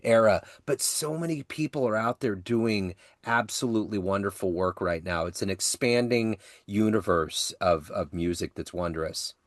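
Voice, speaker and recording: A man's voice: lowish voice, normal speed, hardly any pauses, no room sound, clean studio sound. A man hosting an interview on a video call, a longtime jazz radio presenter who talks in an easygoing, enthusiastic way with jazz slang. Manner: relaxed, enthusiastic jazz talk with slang like "cats" and "dig". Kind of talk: casual conversational interview.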